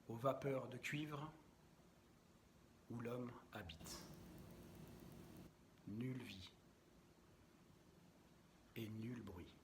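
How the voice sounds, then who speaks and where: A man reciting poetry in a measured, deliberate and expressive way, with frequent pauses. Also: mid-range voice, slight background noise.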